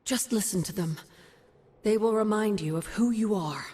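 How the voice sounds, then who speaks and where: A woman playing a gentle, solemn, cryptic witch mentor in a video game. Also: medium pitch, normal speed, husky, close to an everyday voice. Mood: solemn, tender. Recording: long echoing reverb.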